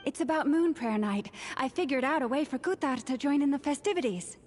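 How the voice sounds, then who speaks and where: High-voiced, tentative gentle woman. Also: expressive, a little fast, a little hiss or hum. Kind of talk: video game voice acting.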